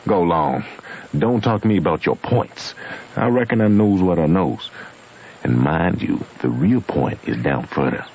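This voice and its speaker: A man's voice: sits low, animated, unhurried, a little mellow, husky, exaggerated voice. A man playing a stubborn, homespun Southern man in an old radio drama, arguing his own logic in an emphatic, folksy way with a Southern US accent. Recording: muffled, noisy vintage radio recording.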